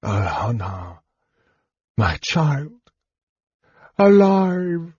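A man voicing a tormented old male elf king in a fantasy audiobook, haunted and frantic, with thin, strained, halting exclamations. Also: medium pitch, dark tone, slightly rough, no echo, highs cut off, voice pushed up. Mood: anguished disbelief.

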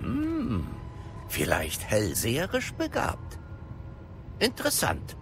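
A man playing a curious, kindly older wizard professor in an audio drama, musing and deliberate. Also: medium pitch, huge pitch swings, full character voice. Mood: pondering. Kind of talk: German audio drama acting. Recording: loud music under the voice.

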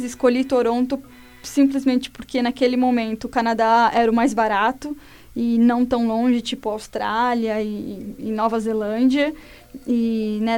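A woman's voice: fairly high pitch, a little mellow. A woman from São Paulo with a Brazilian Portuguese accent, a guest telling her own story in a casual, conversational way.

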